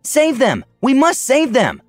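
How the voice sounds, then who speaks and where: A man's voice: very high voice, huge pitch swings, moderate pace, no echo, soft background music, voice pushed up, exaggerated voice. A man voicing a fierce young female dragon, urgent and pleading, in a told fantasy story. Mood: frantic, urgent. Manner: urgent, insistent.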